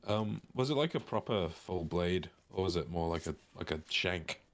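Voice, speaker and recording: A man's voice: deep voice, animated, moderate pace. A man playing a tabletop game, chatting casually as he improvises.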